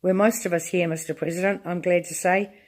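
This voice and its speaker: A woman's voice: fairly low pitch, fairly even intonation, a little fast, a little mellow, close to an everyday voice. A woman voicing an eager, folksy male astronaut reporting over the radio.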